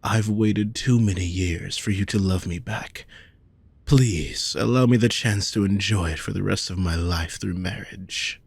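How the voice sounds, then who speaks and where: Man as a low, husky possessive nobleman. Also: no echo, full character voice. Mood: earnest, devoted. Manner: formal, measured, deliberate phrasing. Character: possessive, lovesick wealthy nobleman, haughty and coaxing. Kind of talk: scripted romantic audio roleplay, addressed to the listener.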